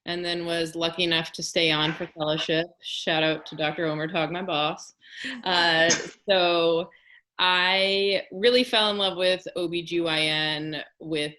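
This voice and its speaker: A woman's voice: fairly low pitch, fairly even intonation, measured pace, bright, forceful tone, slight background noise. A woman fertility fellow introducing herself casually on a webinar, with many fillers.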